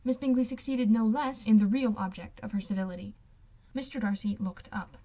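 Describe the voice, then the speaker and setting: A woman reads literary prose aloud as an amateur audiobook narrator. Her voice sits at a medium pitch, and the delivery is scripted storytelling. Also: steady tone, brisk, dark tone.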